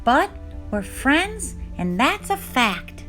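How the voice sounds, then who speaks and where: A woman's voice: sing-song, slow, music in the background, full character voice. A woman voicing an eager, sweet young female bat in a children's storybook read-aloud. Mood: fond. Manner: squeaky, exclaiming.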